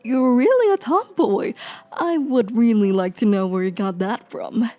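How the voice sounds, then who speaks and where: A woman's voice: a little high, lively intonation, measured pace, dark tone, soft background music. A woman voicing an exasperated mother scolding her tomboy daughter in an audio drama.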